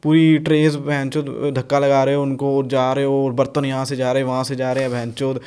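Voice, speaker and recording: A young man from Punjab talking casually on a podcast, blunt and animated, swearing freely and mixing in English. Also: medium pitch, fairly quick, clear, resonant tone. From Punjab, India. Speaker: podcast guest, rapper.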